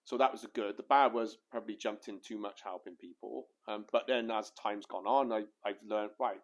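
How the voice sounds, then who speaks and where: Rambling, jokey man with a low voice. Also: steady tone, brisk. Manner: rambling, repeats words, jokey. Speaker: podcast co-host. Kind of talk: casual podcast conversation.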